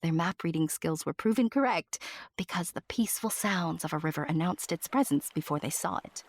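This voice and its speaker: A woman giving scripted fairy-tale narration, addressing the listener with deliberate dramatic pauses. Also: lowish voice, lively intonation. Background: music.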